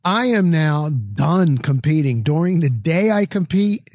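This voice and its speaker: Middle aged guy just chatting sports, kinda higher voice, rambles, real dry sound. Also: animated, a little slow, darkish tone, no room sound. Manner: chatty, rambling, emphatic repetition. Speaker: sports broadcaster. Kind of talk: casual sports chat.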